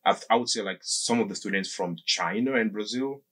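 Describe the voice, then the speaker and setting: Male guest, thirties, studio interview. Deep-set husky voice, casual and explanatory, halting with plenty of filler words. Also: fairly bright tone. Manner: halting, often says "like" and circles back. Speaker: podcast guest. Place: small indoor podcast studio. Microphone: desk microphone close up, with headphones.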